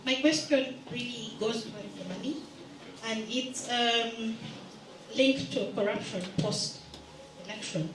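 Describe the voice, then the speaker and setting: A woman in the audience at a round table, asking a question in a lively, direct way. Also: on the high side, slow. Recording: echoey room, from a distance, clear background noise.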